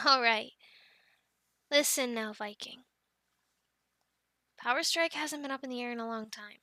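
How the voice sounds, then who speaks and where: A woman's voice: fairly high pitch, takes it slowly, long pauses, sharp tone, no echo, clean studio sound. A woman playing a friendly, encouraging dragon keeper in an ASMR roleplay, chatting playfully with the listener and cooing to animals like pets. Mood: calm, encouraging.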